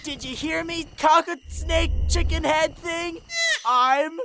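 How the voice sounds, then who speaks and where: Woman playing a high, panicky young cartoon pony. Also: steady tone, takes it slowly, heavy background noise, exaggerated voice. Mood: fed up. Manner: stammering, slacker-like speech peppered with "man". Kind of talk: parody cartoon dub acting.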